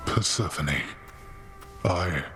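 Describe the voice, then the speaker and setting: Casting: proud, tired lord of the underworld, very low voice, stern and solemn, for a video game. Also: male voice. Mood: remorseful. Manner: grave, formal, halting. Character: Hades, stern, weary, proud god of the underworld and father. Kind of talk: scripted video game voice acting. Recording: music behind the voice. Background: game music.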